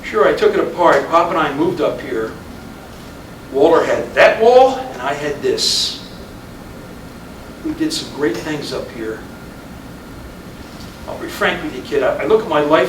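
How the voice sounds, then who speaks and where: A man in a staged play reading, playing a weary, regretful, stubborn husband of fifty-five who speaks haltingly. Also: a little high. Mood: wistful. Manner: halting, repeating words, brooding. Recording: echoey room from far off, noisy.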